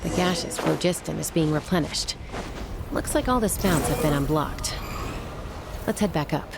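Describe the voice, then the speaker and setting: An actress playing a composed, confident adult female flier in a video game, calm and measured. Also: low voice, vocal fry, heavy background noise. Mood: matter-of-fact. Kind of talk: video game dialogue acting.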